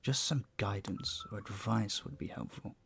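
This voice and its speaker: A man playing an earnest, courteous, flirtatious vampire bartender in an intimate audio roleplay, speaking softly, politely and deliberately. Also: fairly low pitch, gravelly, slight background noise. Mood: hopeful.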